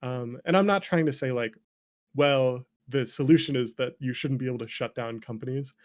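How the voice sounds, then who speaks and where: A man speaking as a game developer guest in a casual conversation, candid and animated, and quick to laugh. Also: mid-range voice.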